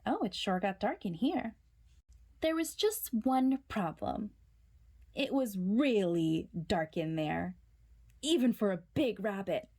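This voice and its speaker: Female children's storyteller: slow, highly animated picture-book read-aloud, dramatic and suspenseful. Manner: dramatic, suspenseful, with hushed whispered words.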